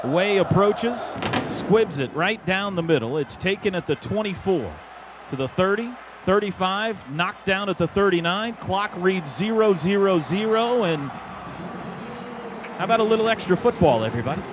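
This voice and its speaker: Male American play-by-play caller, high voice, dramatic punchy phrasing, heard through a muffled, noisy stadium radio broadcast. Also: normal speed. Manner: excitable, dramatic build-ups in short punchy phrases. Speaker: play-by-play announcer. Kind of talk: live American football radio commentary.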